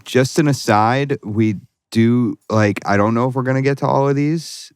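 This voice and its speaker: A man in a casual podcast chat, calmly explaining a listener's situation. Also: mid-range voice, moderate pace, clean tone.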